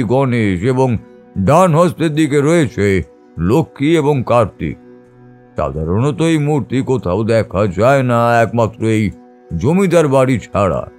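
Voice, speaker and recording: A man narrating a literary first-person ghost story in a measured, dramatic storytelling manner. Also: mid-range voice, a little mellow. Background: background music.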